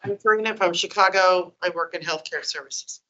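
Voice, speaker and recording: A woman's voice: lowish voice, fairly quick, bright, forceful tone. A young woman, a graduate student, giving a brief self-introduction on a dull, muffled recording. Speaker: master's student.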